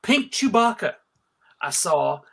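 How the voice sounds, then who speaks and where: A man's voice: high voice, big pitch swings, slow pace, vocal fry, fairly bright tone, breathy edge. A man from Alabama hosting a casual fan panel, chatting in an exclamatory, joking way. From Alabama, United States. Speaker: host of a fan convention panel. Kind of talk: casual animated panel chat.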